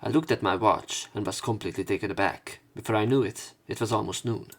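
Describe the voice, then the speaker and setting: Casting: male let's player, lowish creaky voice, casual and rambling, narrating a visual novel. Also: fast. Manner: rambling, hesitant, conversational asides. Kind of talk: casual let's play commentary and story read-aloud.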